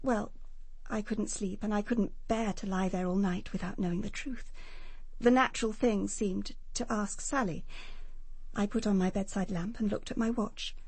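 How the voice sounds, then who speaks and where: A woman's voice: medium pitch, fairly even intonation, normal speed, darkish tone, breathy edge, no echo. A woman playing a wounded, anxious young woman in a radio drama, confessing in a hesitant, hurt manner. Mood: anxious, confessional. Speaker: actress in a radio detective drama.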